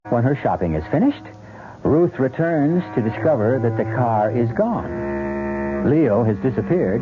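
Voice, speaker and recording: A man narrating a mystery drama as its host, in a theatrical, suspenseful, scripted style. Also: medium pitch. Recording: tinny old radio broadcast.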